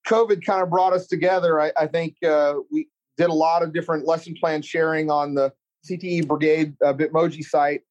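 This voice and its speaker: Middle-aged texas guy, chef teacher, rambly and earnest, kinda high voice, dull muffled audio. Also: a little mellow. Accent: Texan. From Central Texas. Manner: earnest, rambling, many restarts and fillers. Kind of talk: casual interview chat.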